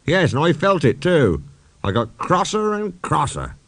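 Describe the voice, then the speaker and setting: A man's voice: fairly high pitch, huge pitch swings, unhurried, fairly bright tone, dry recording, pitched above the performer's own voice, heavily acted. A man voicing a proud, indignant old male steam engine who tells grumbling, emphatic stories in a children's audiobook. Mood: reminiscing.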